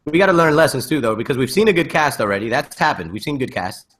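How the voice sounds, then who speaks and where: A man's voice: mid-range voice, lively intonation, fast, fairly bright tone. A man hosting a casual panel chat, handing questions around and chipping in with his own takes. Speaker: host steering a panel discussion.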